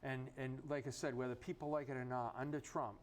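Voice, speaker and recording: A man's voice: mid-range voice, level tone, fairly quick, slight background noise. A man co-hosting a casual political chat, voicing strong opinions and throwing out rhetorical questions. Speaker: talk show co-host.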